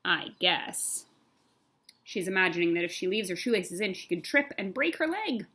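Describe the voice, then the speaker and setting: A woman reading a picture book as a pre-K teacher, expressive, with simple explanatory asides for young children. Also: medium pitch, fast talker.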